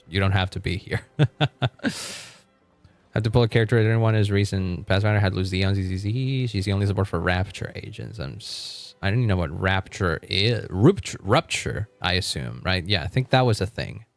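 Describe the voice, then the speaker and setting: Low-voiced man chatting casually on stream. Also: dry recording. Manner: rambling, thinking aloud, often chuckling. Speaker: live streamer. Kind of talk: casual gaming livestream chat.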